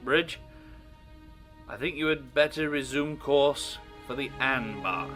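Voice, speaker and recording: An actor playing a dry, sardonic starship commander in an audio drama, giving orders and understated remarks. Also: male voice, mid-range voice, slow pace, over music, lightly acted. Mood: wry, commanding.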